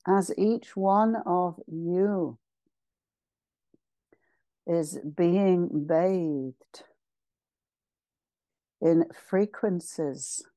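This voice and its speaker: A woman with a lowish, soft-toned voice leads a guided meditation at an extremely slow pace. She is solemn and ceremonial, setting out short phrases with pauses between them. The sound is close and dry. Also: no echo. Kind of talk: slow guided meditation, channeled spiritual address.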